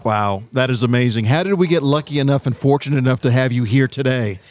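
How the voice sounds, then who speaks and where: A man's voice: medium pitch, fast, darkish tone. A man hosting a business radio interview, asking questions in an enthusiastic, encouraging way. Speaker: radio show host.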